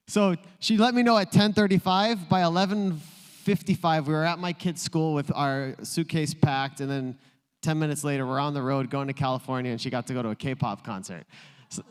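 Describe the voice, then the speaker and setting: A man's voice: on the high side, fairly even intonation, normal speed, smooth. A male pastor preaching a sermon in a playful, joking storytelling way. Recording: long reverb in a large room.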